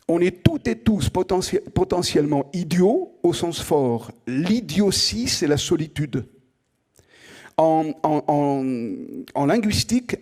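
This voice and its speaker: A middle-aged male professor, a native French speaker, lecturing in a slightly husky voice that sits a little high for a man. He talks to the students conversationally, asks them direct questions and repeats words while he works out his ideas. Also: darkish tone. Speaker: professor giving an opening lecture. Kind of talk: lively spoken lecture to students.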